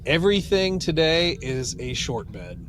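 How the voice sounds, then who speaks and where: A man co-hosting a podcast in casual chat, grumbling wryly in a halting way. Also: fairly high pitch, big pitch swings. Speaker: podcast co-host. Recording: clearly noisy ambient background.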